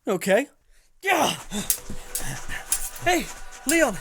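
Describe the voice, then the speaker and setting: An actor playing a flippant, irreverent young mercenary chancer, bantering in an audio drama. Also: male voice, very high voice, very animated, drawn-out words, vocal fry, noisy background, heavily acted. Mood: eager, chatty.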